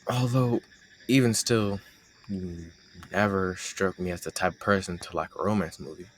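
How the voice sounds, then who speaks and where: Low-voiced teasing young male roommate, acted by a man. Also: steady tone, moderate pace, slight background noise, lightly acted. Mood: curious, fond. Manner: teasing, conversational, sarcastic banter. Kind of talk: intimate roleplay audio, casual chat to the listener.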